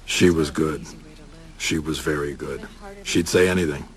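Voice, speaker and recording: Very deep, clipped detective voice-over. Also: male voice, unhurried. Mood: grim, matter-of-fact. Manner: clipped, deadpan. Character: terse, world-weary male detective recounting his case. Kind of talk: noir-like first-person crime drama voice-over.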